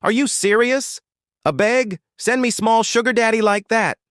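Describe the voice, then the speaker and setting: Man as an excitable, high-pitched Nigerian roommate girl. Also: moderate pace, smooth, no echo, clean studio sound. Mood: excited envy. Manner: exclamatory, teasing. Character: excitable, nosy young female student roommates.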